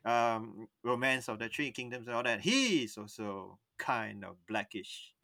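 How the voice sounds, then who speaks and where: A man's voice: mid-range voice, expressive, a little slow, vocal fry, pushed and bright, dry recording, studio quality. A young man, chatting on a podcast and telling a long personal story that rambles, stops and restarts mid-sentence. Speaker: podcast co-host. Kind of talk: casual storytelling chat.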